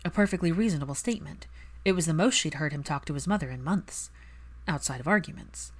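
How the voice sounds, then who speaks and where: A woman's voice: sits low, animated, fast talker, husky. A woman reading scripted fiction as an audiobook narrator.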